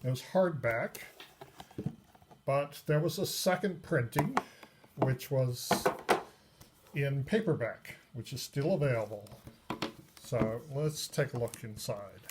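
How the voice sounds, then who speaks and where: A man giving a casual, unscripted review of a tabletop game book, pausing often mid-sentence. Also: medium pitch. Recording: noisy recording with clicks.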